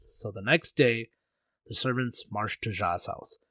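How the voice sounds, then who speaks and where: A man's voice: mid-range voice, measured pace, bright, forceful tone, dry recording, clean studio sound. A man telling a folktale as a storyteller in a casual, conversational way, with playful slang.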